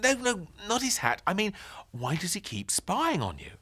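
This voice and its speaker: A man voicing a nervous young boy in a storybook, with a squeaky, stammering voice. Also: huge pitch swings, moderate pace, sharp tone, husky, heavily acted. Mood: curious.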